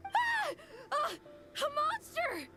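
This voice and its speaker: Timid, very high-voiced little child. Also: female voice, very animated, slow, sharp tone, soft background music, heavily acted. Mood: terrified. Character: small timid, grateful child. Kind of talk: video game voice acting.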